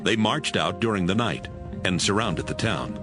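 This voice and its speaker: A man's voice: deep voice, normal speed. A man narrating a dramatized Bible audiobook from a script. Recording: music behind the voice.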